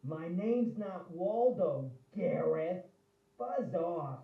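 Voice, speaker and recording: A man's voice: high voice, animated, drawn-out words, gravelly, full character voice. Muffled, echoey grouchy monster brother played by a man. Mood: irritated. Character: grouchy, sleepy male monster brother, vain and dismissive. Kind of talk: animated audio drama acting. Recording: muffled, echoey, distant from mic.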